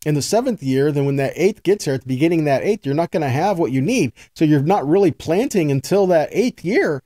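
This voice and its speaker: A man's voice: on the high side, expressive, brisk, dark tone, dry recording, studio quality. A man giving an informal sermon as a Bible teacher, earnest and folksy, repeating phrases for emphasis and checking in with his listeners. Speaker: Bible teacher, host of a weekly teaching video.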